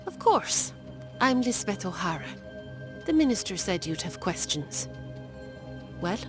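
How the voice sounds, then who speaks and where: A woman's voice: mid-range voice, sing-song, unhurried. An actress playing a wary colonial townswoman in a video game, with a blunt, cool way of talking. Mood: wary, brusque. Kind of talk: video game cutscene acting. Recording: clear music under the dialogue.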